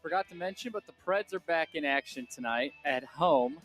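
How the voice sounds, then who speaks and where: A man's voice: fairly high pitch, normal speed, music in the background. An American man co-hosting a radio show in a casual, conversational sports talk style. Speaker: radio co-host.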